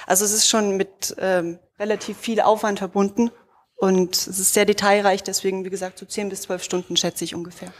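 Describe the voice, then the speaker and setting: A woman's voice: mid-range voice, level tone, brisk. A young woman gives an informal explanation into a handheld microphone in an auditorium. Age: young adult. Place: auditorium, among the audience.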